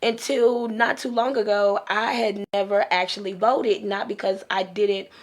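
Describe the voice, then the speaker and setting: A woman's voice: mid-range voice, fairly even intonation, unhurried. An American woman talking informally as a panelist in a casual podcast discussion, often repeating her phrases.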